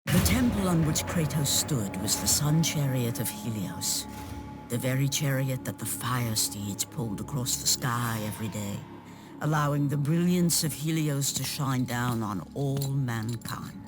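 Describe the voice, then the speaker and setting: A man's voice: mid-range voice, animated, unhurried. A man giving dramatic scripted narration for a mythic video game, solemn and portentous. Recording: loud music behind the narration.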